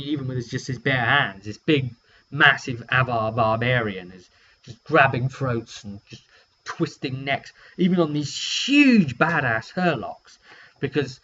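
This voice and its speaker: A man's voice: mid-range voice, fairly bright tone. British man, dull lo-fi recording. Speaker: tabletop game master recapping sessions. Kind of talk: casual vlog storytelling. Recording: dull lo-fi sound with faint hum.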